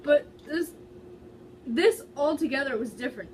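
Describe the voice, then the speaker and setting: A woman's voice: high-pitched, animated, moderate pace. A woman giving a dramatic amateur reading of fan fiction in a casual way, now and then stumbling over words. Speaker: amateur reader. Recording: distant mic, faint background noise.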